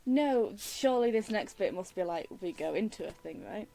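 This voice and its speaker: A woman giving casual let's play commentary in a soft, mellow voice with very lively intonation. She chats back at the game as if she were part of it, over slight background noise. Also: medium pitch, moderate pace, creaky, poor audio. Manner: chatty, reacts to the game as if in it. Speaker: gaming streamer.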